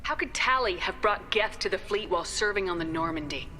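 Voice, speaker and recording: Bright, forceful starship commander. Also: female voice, medium pitch, animated, a little fast, soft background music. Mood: firm, composed. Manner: firm, formal. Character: resolute human female starship commander, diplomatic defender. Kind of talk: dramatic video game acting.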